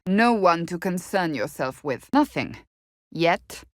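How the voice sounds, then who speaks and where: A woman's voice: lowish voice, sing-song, slow, no echo. Proud warrior woman, blunt, formal, easily exasperated, game dialogue, close dry sound. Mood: stern, matter-of-fact. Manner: clipped, formal, blunt delivery. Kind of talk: video game character dialogue.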